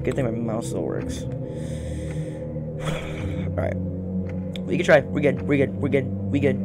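A man's voice: medium pitch, creaky. A young man giving casual let's-play commentary, thinking aloud and repeating his phrases. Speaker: gaming YouTuber. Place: small room indoors at home. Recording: loud game music behind voice.

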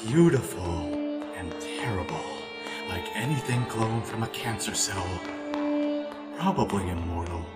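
Casting: male actor as paranoid, philosophical scientist, low slow delivery, echoey sound under music, fan comic dub. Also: expressive. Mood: musing, dark. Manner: slow, musing, ominous. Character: haunted, paranoid scientist man, brooding and philosophical.

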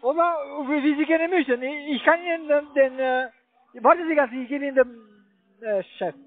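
Very high-voiced foreign man on a phone. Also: moderate pace, no echo. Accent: foreign, non-native German. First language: not German. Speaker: call center agent. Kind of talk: halting phone conversation. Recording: tinny phone line.

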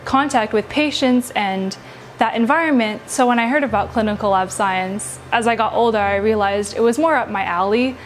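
A woman's voice: on the high side, moderate pace, a little hiss or hum. A young woman answering interview questions as a student in a university lab, upbeat and assured.

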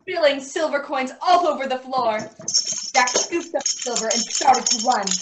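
A woman's voice: sits high, unhurried. A woman reading scripted storybook narration over a video call from a bedroom. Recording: noisy, echoey video call audio.

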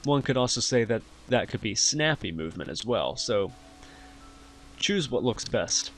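A man hosting a software tutorial, explaining each step in an instructional way. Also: mid-range voice, big pitch swings, a little slow. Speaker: tutorial host. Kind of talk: software tutorial narration over screen recording.

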